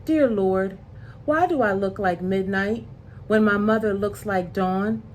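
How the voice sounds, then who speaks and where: Sad little storybook girl, acted by a woman, earnest and pleading, measured slow delivery. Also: medium pitch, a little hiss or hum, natural voice.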